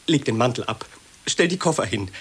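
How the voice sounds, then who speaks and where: An actor playing a fussy, over-solicitous nephew who insists politely, in an absurd comic radio play. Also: male voice, mid-range voice, expressive, a little fast, breathy edge. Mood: eagerly fussing.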